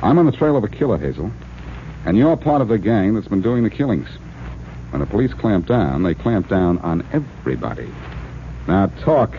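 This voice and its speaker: An actor playing a wry, tough, confident amateur detective with a wisecracking, pressing manner in a 1940s radio crime drama. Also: male voice, lowish voice, sing-song, normal speed. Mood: stern, pressing. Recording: noisy, dull old radio recording.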